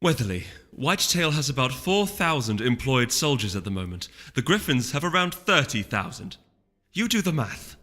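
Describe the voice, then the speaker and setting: An imperious noble mare duchess, acted out by a male reader, haughty and exasperated. The voice is pushed high and bright, with emphatic storybook delivery in long reverb. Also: big pitch swings, moderate pace. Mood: insistent, urgent. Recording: long reverb, music underneath.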